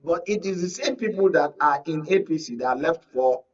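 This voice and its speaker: Male Nigerian pundit, explanatory and checking he is understood, slightly rough voice, dull distant recording. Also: a little high, lively intonation, normal speed. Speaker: media analyst, studio or call-in guest. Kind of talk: political analysis in live discussion. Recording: muffled, distant from mic.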